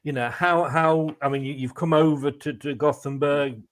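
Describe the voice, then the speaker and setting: A middle-aged Englishman hosts a casual fan interview in a fairly high male voice. He has an English accent and a chatty, rambling way of getting to his questions. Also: fairly even intonation, normal speed. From England. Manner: chatty, rambling, chuckles between phrases. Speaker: fan channel host, interviewer.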